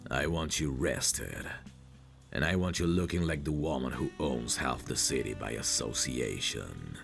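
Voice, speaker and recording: A man acting out a possessive, protective mafia boss in an intimate audio roleplay. His voice is extremely deep and gravelly, and he speaks slowly and deliberately. He sounds smooth and sure of himself, coaxing with an edge of menace. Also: exaggerated voice. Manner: slow, deliberate, self-assured, coaxing with pet names.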